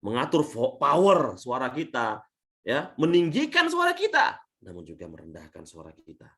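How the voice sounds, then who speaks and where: A man from Indonesia, a leadership coach giving a webinar talk over a video call, swinging between loud and soft and often addressing the audience as friends. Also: wildly swooping pitch, moderate pace. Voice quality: says his natural voice is thin and shrill.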